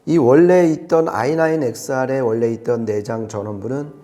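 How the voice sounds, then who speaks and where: A man's voice: medium pitch, a little fast, warm tone. A man explaining products conversationally as an audio reviewer, with an emphatic manner.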